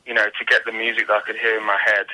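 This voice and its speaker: A man's voice: fairly low pitch, completely flat delivery, rapid-fire, pushed and bright, rough recording. A man answering casually as a musician guest in an interview, reflective and searching for his words. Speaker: guest musician, singer-songwriter.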